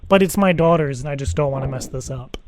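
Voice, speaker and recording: Man playing a higher-voiced, earnest, rambling dad. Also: darkish tone, lightly acted. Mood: anxious, insistent. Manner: halting, repetitive, rambling, earnest. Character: earnest, anxious divorced dad seeking a teddy-bear sitter. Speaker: prank caller. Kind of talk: improvised prank phone call.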